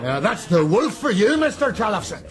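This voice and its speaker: An actor playing a boisterous, menacing, hard-drinking sailor who spins yarns in rough, jeering speech for a radio drama. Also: male voice, sits high, sing-song, normal speed, a crowd in the background. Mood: amused.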